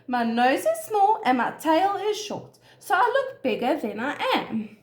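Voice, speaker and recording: A big storybook elephant, acted out by a woman, high-voiced and defensive about his size. He grumbles and protests with emphatic, swooping delivery in an echoey room. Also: very animated, measured pace. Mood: grumbly, defensive. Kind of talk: children's storybook read-aloud.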